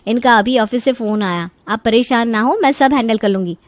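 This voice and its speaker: Woman voicing a bubbly, high-pitched young daughter-in-law. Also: normal speed, dry recording, close to an everyday voice. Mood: breezy, reassuring. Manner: playful, breezy, cheeky. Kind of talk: Hindi animated story voice acting.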